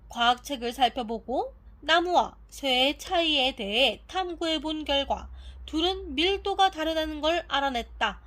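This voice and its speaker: A woman's voice: high voice, fairly bright tone. A woman narrating a children's audiobook from a script, reading carefully and expressively.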